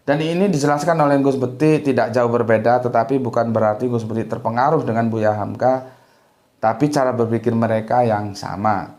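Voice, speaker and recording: A man's voice: mid-range voice, fairly even intonation, brisk, a little mellow. A man from Sumatra giving an informal religious lecture, talking loosely and tagging many sentences with a short "ya". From Sumatra, Indonesia. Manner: loose, conversational, with frequent "ya" tags and restarts. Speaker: religious teacher.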